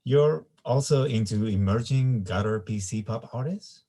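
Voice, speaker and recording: A man's voice: on the low side, unhurried, dark tone. A man playing an easygoing, teasing young man at a comedy screenplay read, laid-back in manner. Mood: relaxed, teasing.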